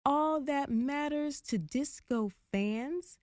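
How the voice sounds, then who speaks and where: A woman narrating a rhyming picture book for children in a deliberate, expressive storytelling manner. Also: high voice, dry recording, studio quality. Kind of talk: rhyming children's read-aloud.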